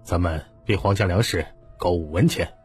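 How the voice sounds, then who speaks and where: Man acting a stern, low-voiced steward. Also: moderate pace, soft background music, natural voice. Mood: stern, commanding. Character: stern, commanding male household steward.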